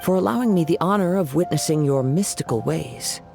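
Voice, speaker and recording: An actor playing a polite, scholarly young swordsman in a video game, speaking in a formal, measured way. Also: fairly high pitch, creaky, over music. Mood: calm. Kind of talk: game voice acting.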